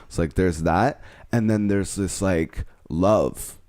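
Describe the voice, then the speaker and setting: Casting: young man in his twenties, lowish voice, dry close sound, loose rambling podcast talk. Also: slow, a little mellow, dry recording. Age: 20s. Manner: rambling, frank, trails off mid-thought. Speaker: podcast co-host. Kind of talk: casual chat.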